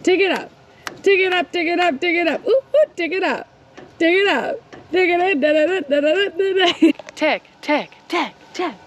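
Young woman, high and bubbly, sing-song vlogger energy, arm's-length camera outdoors with light clicking noise behind. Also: moderate pace. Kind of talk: playful casual vlog chat. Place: outdoors beside a camper. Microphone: camera held at arm's length.

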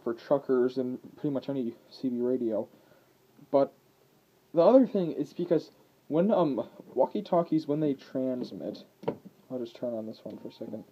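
Man, average pitch, hesitant amateur demo talk, indoor handheld camera sound, muffled and faintly noisy. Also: slightly rough. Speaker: amateur video maker. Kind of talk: casual, rambling product demonstration. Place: indoors, at a wooden table. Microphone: handheld camera's built-in microphone. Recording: dull lo-fi camera audio with noise and clicks.

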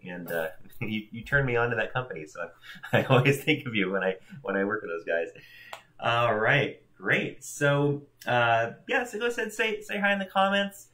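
Bright-voiced upbeat man greeting viewers. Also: fairly low pitch. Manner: chatty, upbeat, greeting viewers by name with laughs. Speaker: church livestream host. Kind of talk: casual welcome chat. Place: home room in front of a backdrop.

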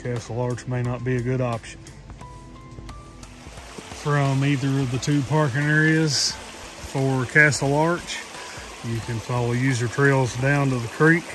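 A young man narrating his hike outdoors in a forest, talking casually into a phone held at arm's length, with plenty of "uh" fillers. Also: mid-range voice. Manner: relaxed, practical, many "uh" fillers. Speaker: hiking vlogger.

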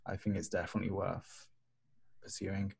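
A man's voice: low voice, level tone, normal speed, gravelly, a little mellow, husky. A man speaking casually and in character as a player in a tabletop game, with a teasing manner.

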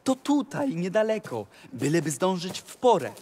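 A man's voice: high-pitched, big pitch swings, moderate pace, a little mellow. A man playing a scheming, bossy talking cat in a rhymed fairy-tale radio play, declaiming urgently. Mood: urgent, commanding. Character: bossy, scheming, theatrical talking tomcat in boots.

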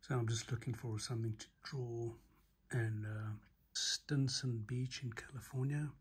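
A man's voice: medium pitch, steady tone, unhurried, breathy edge. A middle-aged man chatting casually as he hosts an art demo, musing aloud in an understated way. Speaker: artist and channel host giving a drawing demo. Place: living room at home, indoors.

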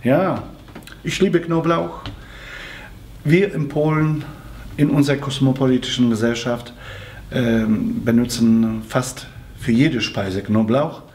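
A middle-aged man with a Polish accent sits indoors and answers fans' questions one by one. His phrasing is careful and a little halting, as someone speaking a second language, with a faint hiss of background noise under his voice. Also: mid-range voice, a little mellow. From Poland. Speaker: musical theatre actor answering fan questions. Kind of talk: seated video interview. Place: small room with red curtain and patterned wallpaper, indoors.

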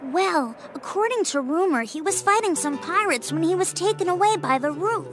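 A woman's voice: very high-pitched, lively intonation, normal speed. A woman voicing a chatty, knowing young friend in a video game, matter-of-fact and explanatory. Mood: helpful, musing. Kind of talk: video game cutscene acting. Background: music.

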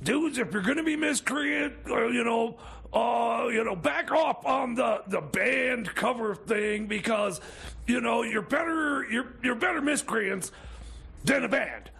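A man playing a cranky, rambling time machine mechanic in a comedy radio skit, stammering and repeating himself in a raspy, strained voice. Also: a little slow, a bit bright, heavily acted. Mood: suspicious, rambling. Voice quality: raspy, strained, high-pitched. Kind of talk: improvised comedy radio theater.

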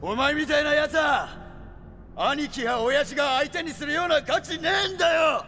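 Shouting, high-strained young gangster. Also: male voice, normal speed, a little hiss or hum, exaggerated voice. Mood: defiant anger. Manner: shouting, urgent, earnest. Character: hot-blooded, loyal young yakuza underling. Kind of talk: video game cutscene acting. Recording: reverberant, music behind.

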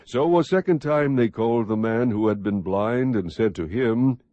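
A man narrating scripture as an audiobook, reading in a measured, expressive, husky voice. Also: mid-range voice, no room sound, dull audio.

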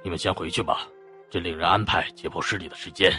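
Forceful, imperious middle-aged emperor. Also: male voice, deep voice, steady tone, quick pace, faint music behind. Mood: weary, commanding. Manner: commanding, imperious. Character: weary, wrathful middle-aged male emperor. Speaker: voice actor. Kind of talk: audiobook drama acting.

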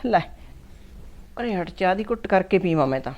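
A woman's voice: mid-range voice, expressive, moderate pace, darkish tone, lo-fi sound, natural voice. A woman with a Punjabi accent playing a cautious, gossipy village mother-in-law in a family drama. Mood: cautioning. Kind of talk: colloquial domestic drama acting.

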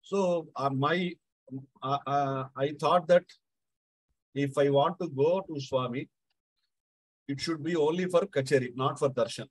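A middle-aged man with a South Indian accent tells a devotional anecdote casually, halting and repeating phrases. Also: fairly high pitch, no echo, rough recording. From Andhra Pradesh, India. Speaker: Carnatic musician, guest speaker.

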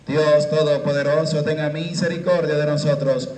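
A man in his thirties, a priest leading Mass into a handheld microphone in a small chapel, with a slow, measured liturgical cadence. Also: a little high, fairly even intonation, noisy background. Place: small chapel with curtained walls. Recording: echoey chapel room.

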